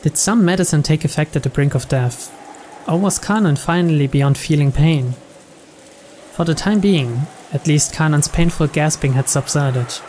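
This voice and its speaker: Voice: mid-range voice, normal speed, darkish tone, a little hiss or hum. A narrator reading out the story text of a visual novel as scripted narration.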